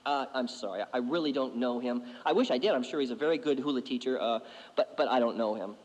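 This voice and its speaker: A man's voice: medium pitch, fast talker, darkish tone, in a large hall, low-quality recording. A man telling a spooky story in a wry, conversational way.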